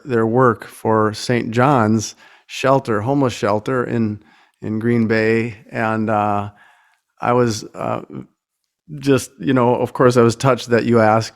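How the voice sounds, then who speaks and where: Halting, self-effacing man. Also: mid-range voice, gravelly. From United States. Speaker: singer-songwriter. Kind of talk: casual talk between songs at a performance.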